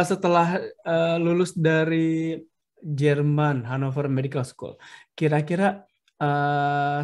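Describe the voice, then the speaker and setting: A man with an Indonesian accent moderating a casual online discussion, informal and encouraging as he invites others to talk. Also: a little high. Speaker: host, moderator.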